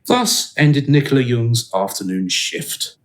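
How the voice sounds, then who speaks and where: An actor as the measured, wry narrator of a radio play. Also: male voice, mid-range voice, expressive.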